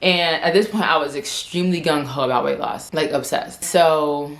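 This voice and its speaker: Woman, 20s, low voice, casual vlog storytelling, rambling, says "like" a lot, stutters. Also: normal speed. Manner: chatty and rambling, heavy use of "like"; stutters and repeats words. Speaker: vlogger.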